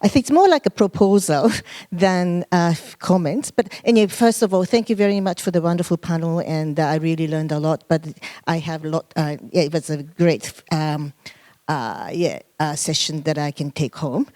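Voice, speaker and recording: A woman's voice: deep voice, normal speed, dark tone. A woman making a hesitant comment from the floor at an academic conference, with many fillers and restarts. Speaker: conference fellow and association president. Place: conference room.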